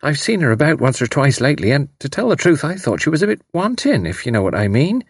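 A man's voice: fairly high pitch, big pitch swings, fast talker, slightly rough, dry recording, studio quality, pitched above the performer's own voice, full character voice. A man voicing a gossipy, disapproving young English servant girl in an audiobook, colloquial, rambling and hesitant. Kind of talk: audiobook character voice.

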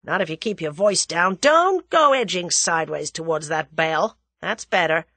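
A woman's voice: fairly low pitch, expressive, moderate pace, a bit bright, no room sound. A woman voicing a brash, menacing young American millionaire with a blunt, slangy drawl in an audiobook.

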